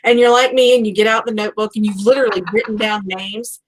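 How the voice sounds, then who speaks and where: A woman hosting a casual live book chat, talking like an animated, gushing fan and acting out her reactions. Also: a little high, fast talker, poor audio. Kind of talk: casual chatty author interview.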